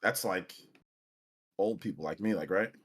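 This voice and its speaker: A man's voice: low voice, moderate pace, fairly bright tone, husky. A man in his late forties joining a casual gaming chat as a guest critic. He laughs easily and jokes about his own age. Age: late 40s. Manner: self-deprecating, laughs easily.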